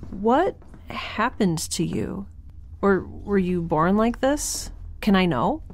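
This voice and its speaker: A woman's voice: medium pitch, animated, slow, slight background noise. An actress playing a candid, curious young woman in a science-fiction audio drama, chatty, informal and wry.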